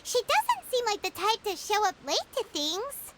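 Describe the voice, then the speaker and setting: A woman's voice: extremely high pitch, big pitch swings, moderate pace, heavy background noise. A woman voicing a tiny, food-loving companion girl in a video game, with an eager, chirpy and exclamatory manner. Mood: puzzled. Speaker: companion character. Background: loud game soundtrack music.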